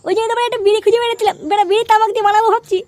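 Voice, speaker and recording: A performer voicing a cheeky, foul-mouthed young cartoon villager in a squeaky pitched-up voice, excitable and complaining. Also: racing, a little hiss or hum. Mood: boastful. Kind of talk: cartoon comedy dialogue.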